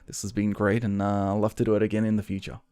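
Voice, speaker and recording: A man with an Australian accent and a soft voice, hosting a casual live stream chat in a relaxed, upbeat way. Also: lowish voice, fast, smooth, dry recording, clean studio sound.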